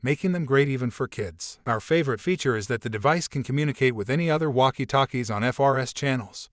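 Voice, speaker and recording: A man's voice: mid-range voice, fast talker, no room sound, studio quality. A man reading a scripted product review as a narrator.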